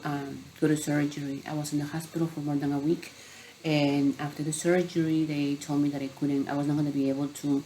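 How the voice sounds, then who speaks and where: A woman's voice: low-pitched, dark tone, slight background noise, low-quality recording. A middle-aged woman from Peru, a health coach, earnestly telling her own story in a personal vlog.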